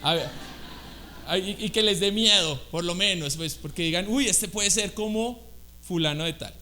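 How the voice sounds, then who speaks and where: High-voiced Colombian man preaching. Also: normal speed, slight background noise. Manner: conversational, animated, asks the congregation questions. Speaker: guest preacher. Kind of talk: sermon. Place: church.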